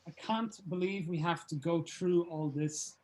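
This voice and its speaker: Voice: mid-range voice, unhurried, darkish tone, natural voice. A performer playing a foul-mouthed, jaded male rock band member at an informal script table read, blunt and sardonic. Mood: weary. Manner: blunt, sweary. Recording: clear background noise.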